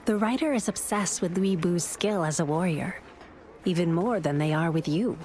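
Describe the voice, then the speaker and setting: A woman's voice: lowish voice, moderate pace, a little mellow, a little hiss or hum, lightly acted. A woman playing a thoughtful, idealistic adviser and companion to a hero in a video game, speaking in a reflective, composed way. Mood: thoughtful, wry. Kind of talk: dramatic game narration and dialogue.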